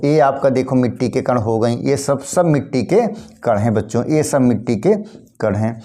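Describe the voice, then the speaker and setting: Casting note: male teacher, hoarse a cold, mellow dark tone, repetitive classroom explaining. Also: mid-range voice, moderate pace. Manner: repetitive, addresses students as kids, frequent throat clearing. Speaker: biology teacher. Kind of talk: classroom lesson addressing students.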